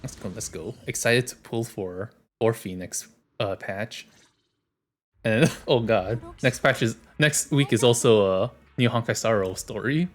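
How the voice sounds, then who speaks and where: A man's voice: mid-range voice, a little slow. A man streaming a game, reading its dialogue aloud and adding casual commentary.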